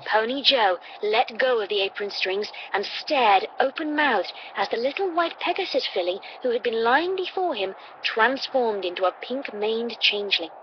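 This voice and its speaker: A woman's voice: on the high side, lively intonation, normal speed, few pauses, slight background noise. A woman reading a dramatic scripted story as a narrator. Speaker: audiobook-style story narrator. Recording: dull lo-fi playback with loud music. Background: loud background music.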